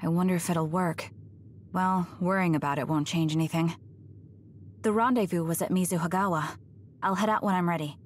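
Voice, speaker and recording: Woman acting a low, cool young female soldier. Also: fairly even intonation, fairly quick, background noise, natural voice. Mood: pensive. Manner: terse, matter-of-fact. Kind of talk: English anime-game voice acting. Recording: music behind the voice.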